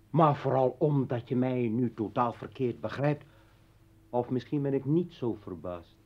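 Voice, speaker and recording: An actor playing a bitter, menacing revolutionary ex-husband in a radio play, with a taunting, rhetorical manner. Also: male voice, medium pitch, close to an everyday voice. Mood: bitter, reproachful. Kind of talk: Dutch radio play acting. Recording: dull, echoey old radio recording, distant.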